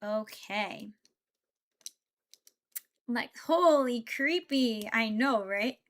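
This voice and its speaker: A woman's voice: fairly high pitch, animated, very slow. A young woman streamer chatting casually while building Lego, thinking aloud and answering her chat. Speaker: VTuber streamer.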